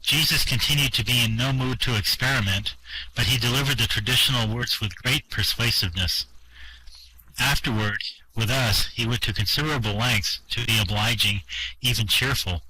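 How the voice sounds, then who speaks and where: A man narrating a first-person story in an amateur radio drama with steady prose reading. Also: medium pitch, moderate pace, husky, low-quality recording.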